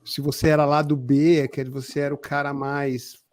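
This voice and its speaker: Higher-voiced Brazilian man in his late fifties. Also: flat delivery, moderate pace, no echo, studio quality. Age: 50s, almost sixty. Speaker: podcast co-host. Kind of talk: casual chat, reminiscing.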